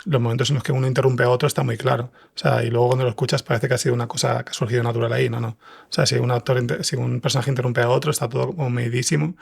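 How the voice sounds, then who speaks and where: A man's voice: mid-range voice, little pitch movement, racing, creaky, a little mellow, husky. A man with a Spanish accent from Spain, talking casually as an interview guest about his creative work. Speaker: podcast writer and director, interview guest. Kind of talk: casual conversation.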